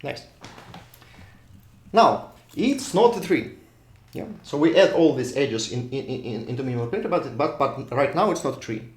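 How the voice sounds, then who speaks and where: Russian-accented male lecturer, mid-pitched, halting with self-restarts, recorded in an echoey hall. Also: big pitch swings. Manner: repetitive restarts, thinks aloud while drawing. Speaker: university lecturer. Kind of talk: classroom lecture at a whiteboard. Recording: echoey room, voice drifts off mic.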